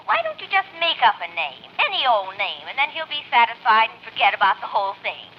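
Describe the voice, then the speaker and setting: Gently meddling older mother, high, swooping, coy, old muffled radio comedy. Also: wildly swooping pitch, a little fast. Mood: coy advising. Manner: coy, motherly, trailing endearments. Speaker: sitcom actress. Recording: muffled, noisy vintage radio recording.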